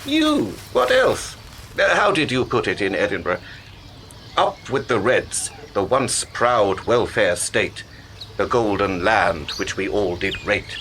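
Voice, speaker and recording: An actor in a radio play playing a secretive, cultured male promoter who speaks in a measured, erudite, persuasive way. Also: lowish voice, very animated, fairly bright tone, a little hiss or hum, full character voice. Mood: persuasive, intriguing.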